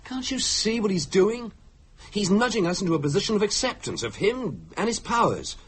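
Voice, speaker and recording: A man's voice: sits high, sing-song, normal speed, husky, full character voice. An actor playing an irritable, sarcastic male skeptic in a radio drama, blunt and indignant. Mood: angry disbelief.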